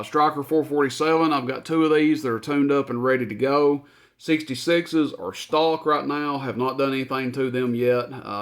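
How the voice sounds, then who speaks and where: A man talking casually through shop inventory as its owner, in a plainspoken, informal, folksy way. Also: medium pitch. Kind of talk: casual product rundown.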